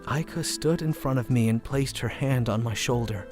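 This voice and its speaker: A man's voice: medium pitch, moderate pace, music in the background, lightly acted. A man playing an earnest, shy nerdy schoolboy. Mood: glum, reflective. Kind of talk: manga dub narration and dialogue.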